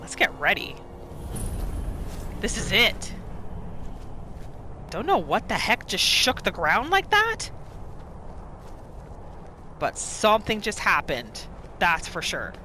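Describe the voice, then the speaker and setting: A woman giving casual, chatty roleplay commentary as the host of a gaming channel, narrating in an expressive way. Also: mid-range voice, slow, pauses often, a little hiss or hum. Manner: expressive, chatty, narrating characters' thoughts in third person.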